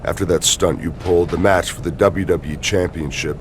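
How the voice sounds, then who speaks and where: A man's voice: low voice, steady tone, normal speed, a little hiss or hum, natural voice. A man playing a cold, menacing rival pro wrestler in a video game, with a curt, taunting manner. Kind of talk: scripted video game cutscene acting.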